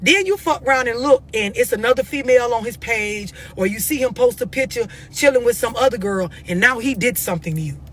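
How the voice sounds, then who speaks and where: A woman's voice: fairly high pitch, animated, fairly quick, hardly any pauses, a little hiss or hum. An American woman giving blunt, unscripted relationship advice on a live stream, confrontational and emphatic, pressing her questions insistently. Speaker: host of a live stream talking to followers.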